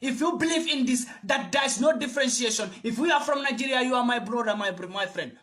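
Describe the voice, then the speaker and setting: A man's voice: very high-pitched, quick pace, fairly bright tone. A man from Gambia, giving a fiery, preachy address to camera and repeating phrases for emphasis. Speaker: video commentator.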